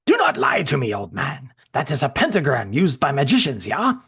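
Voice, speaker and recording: A man's voice: medium pitch, wildly swooping pitch, moderate pace, gravelly, a bit bright, husky, pitched above the performer's own voice, full character voice. A man voicing a ruthless male German soldier with a mock German accent, barking threats. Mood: menacing.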